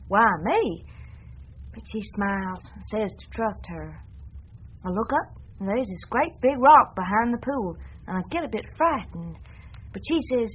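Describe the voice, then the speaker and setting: A woman's voice: a little high, dull audio. An actress playing a trusting, stubborn, devout young woman in a radio drama, speaking in a plain, folksy, earnest way. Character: trusting, stubborn, devout one-legged young female dreamer.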